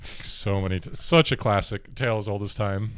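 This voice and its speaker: A young man with a deep voice, a podcast host telling a rambling story in casual chat and laughing often. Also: normal speed, clean tone.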